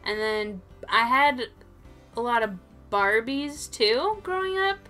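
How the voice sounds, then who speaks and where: A woman's voice: fairly high pitch, expressive, slow pace, sharp tone, soft background music. A woman co-hosting a toy show in casual, informal chat, thinking aloud as she goes.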